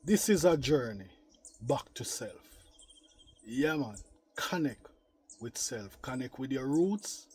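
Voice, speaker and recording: A man with a Jamaican accent talking reflectively to viewers as a gardening vlog host, in a measured, philosophical manner that repeats phrases for emphasis. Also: medium pitch, lively intonation, a little mellow, a little hiss or hum. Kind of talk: reflective, unscripted talk to viewers.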